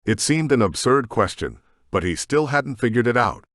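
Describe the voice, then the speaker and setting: A man narrating his own audiobook story and author's note in a measured, expressive way. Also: mid-range voice, no echo, clean studio sound.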